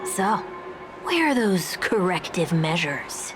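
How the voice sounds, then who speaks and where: A confident teasing game heroine, slightly low, takes her time, music in the background. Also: very animated. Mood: challenging. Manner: unhurried, wry, pointed. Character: cool, teasing, self-assured woman, probing and defiant. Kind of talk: scripted video game voice acting. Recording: music behind the voice.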